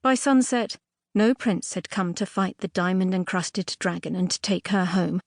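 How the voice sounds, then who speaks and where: A woman reading a storybook fairy tale as a scripted audiobook narration, expressively and a little primly, with dramatic emphasis and pauses. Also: fairly low pitch, no room sound. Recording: dry close studio audiobook recording.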